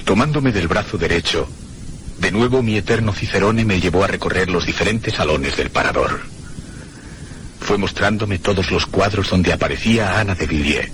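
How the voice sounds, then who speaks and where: Low, solemn storyteller of mysteries. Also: male voice, a bit bright, a little hiss or hum. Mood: mysterious, awed. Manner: solemn, deliberate, suspenseful repetition. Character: haunted one-armed male traveller recounting mysteries, solemn, troubled. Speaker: narrator and protagonist. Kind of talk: dramatic scripted radio narration.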